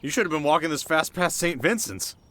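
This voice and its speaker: A man's voice: on the high side, animated, a little fast, pushed and bright. A man playing a cocky, teasing delinquent teenage boy in an ASMR roleplay, talking casually and wryly straight to the listener. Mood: teasing, skeptical. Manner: casual, wry, sarcastic, sometimes flustered. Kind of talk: ASMR audio roleplay, one-sided dialogue to listener. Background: music.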